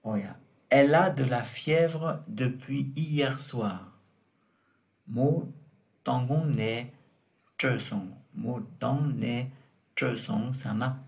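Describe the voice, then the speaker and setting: A man teaches French words at a crawling pace, saying each one twice and spelling out examples. His voice has a gravelly, fry-tinged edge and sits in a middling register. The delivery is patient and deliberate, like a recorded classroom lesson. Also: medium pitch, a little mellow. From Tibet. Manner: slow and deliberate, repeating each word and example. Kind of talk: vocabulary lesson explained in Tibetan.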